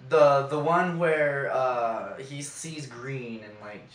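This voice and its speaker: A man's voice: medium pitch, slow. A man co-hosting a podcast in casual, profane, joking banter with friends. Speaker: podcast co-host. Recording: echoey room, distant mic, background noise.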